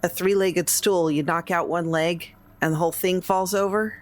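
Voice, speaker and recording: A woman's voice: lowish voice, fairly even intonation, moderate pace. A woman talking casually as a player in a tabletop roleplaying game.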